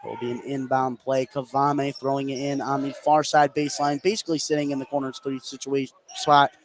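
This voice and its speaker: A man doing live play-by-play basketball commentary for radio, getting excitable on big plays. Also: medium pitch, a little fast. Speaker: play-by-play sports announcer. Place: indoor school gym. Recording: clearly noisy live gym broadcast. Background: gym ambience and noise.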